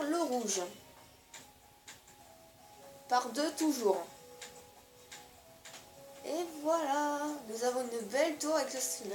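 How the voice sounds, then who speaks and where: A woman's voice: high-pitched, echoey room. Stuffy-voiced woman with a cold. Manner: hesitant, step-by-step instructions with many fillers. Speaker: gaming video creator. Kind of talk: building tutorial over gameplay.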